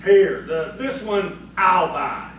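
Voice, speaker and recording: Man acting blunt, high-voiced barfly, very echoey. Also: slow. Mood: dry, thirsty. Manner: blunt, suspicious, folksy. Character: nosy, hard-drinking, uneducated male barfly. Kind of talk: audio theatre acting. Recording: echoey, distant stage recording, faint noise.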